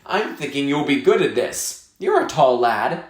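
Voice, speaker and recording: A man's voice: medium pitch, sing-song, measured pace. A man reading the part of a friendly, encouraging Irish aid worker in a storybook. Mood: warm encouragement. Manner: kindly, encouraging, informal. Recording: echoey room.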